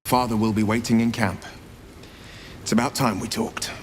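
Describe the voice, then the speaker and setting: An actor playing a noble, earnest adventurer son in a fantasy game, speaking in a gentle, sincere way. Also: male voice, on the low side, flat delivery, moderate pace, faint music behind, close to an everyday voice. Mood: composed. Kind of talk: dramatic fantasy game acting.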